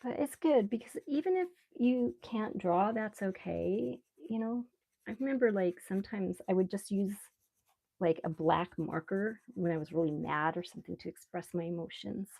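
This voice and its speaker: A woman with a mellow, gravelly fry in her relaxed voice. She hosts a casual art live stream, thinking aloud in an unhurried, rambling way as she draws. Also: medium pitch. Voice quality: relaxed, soft-edged voice. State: relaxed, mildly sedated after anesthetic. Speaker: live stream artist host. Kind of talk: casual live stream chat while drawing.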